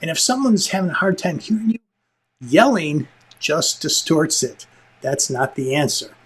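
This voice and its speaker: A man with a slightly husky voice presenting a lesson as host, conversational and emphatic, with joking asides. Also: a little high, very animated, normal speed, a bit bright. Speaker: instructor and show host, film director.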